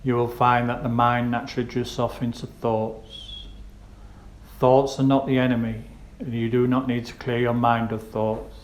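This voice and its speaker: Even-toned man guiding meditation, echoey room. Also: mid-range voice. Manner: gentle, unhurried instruction with pauses. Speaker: meditation guide. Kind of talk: guided meditation narration. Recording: roomy echo with faint background noise.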